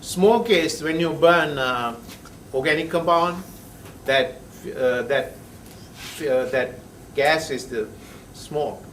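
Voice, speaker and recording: A male chemistry professor, lecturing a class in a halting way, with many pauses and frequent checks of "right?". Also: on the high side, a bit bright, far from the mic, a little hiss or hum. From Sri Lanka. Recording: echoey classroom heard from afar.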